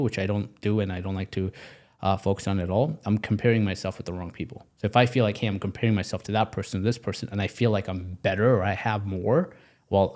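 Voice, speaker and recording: A man's voice: lowish voice, darkish tone. A man in his twenties from Pakistan, talking energetically and emphatically as a podcast guest, often repeating his phrases. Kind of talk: casual interview talk.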